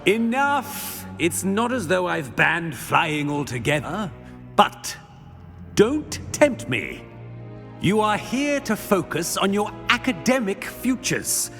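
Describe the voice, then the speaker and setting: An actor playing a stern, testy British school headmaster in a fantasy game, pompous and authoritative, in a reverberant hall. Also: male voice, sits high, big pitch swings, slow, vocal fry, a bit bright, faint music behind, heavily acted. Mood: stern, impatient.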